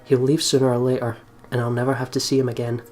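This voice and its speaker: A man's voice: medium pitch, flat delivery, fast talker, darkish tone, slight background noise. A man hosting a let's play, casually commenting and reading story text aloud. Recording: game music behind the voice. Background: visual novel game music.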